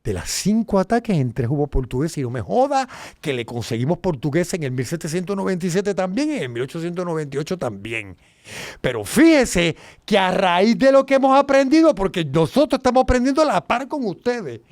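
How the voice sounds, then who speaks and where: Puerto rican guy hosting, kinda high voice, swoops all over, dry close sound. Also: a little fast, no room sound. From Puerto Rico. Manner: animated, emphatic, swings between low asides and loud exclaims. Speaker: host. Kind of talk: casual educational talk.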